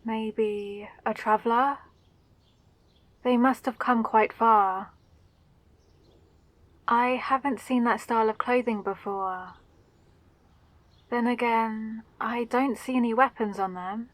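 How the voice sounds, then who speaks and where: A woman playing a gentle, curious female mage in a fantasy roleplay, speaking politely and soothingly with old-fashioned phrasing. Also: a little high, fairly even intonation, slow, long pauses, dry recording. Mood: curious, friendly. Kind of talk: roleplay audio drama addressed to the listener.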